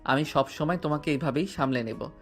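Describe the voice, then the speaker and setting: A man's voice: fairly high pitch, brisk, natural voice. A male voice actor playing a playful, devoted young husband in an audio romance drama. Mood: tender. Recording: music bed underneath.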